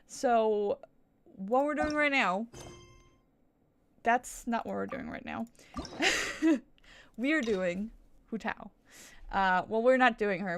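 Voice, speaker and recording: A woman chatting casually on a gaming livestream, rambling, interrupting herself and swearing now and then. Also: mid-range voice. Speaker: game streamer. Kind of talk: casual livestream gameplay chat.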